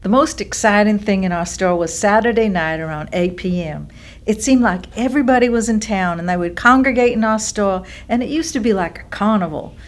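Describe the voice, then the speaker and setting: A woman's voice: mid-range voice, fairly quick, close to an everyday voice. A woman playing a small-town storekeeper fondly recalling old days in a staged reading. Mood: fond, reminiscing. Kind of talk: staged monologue of oral history recollections.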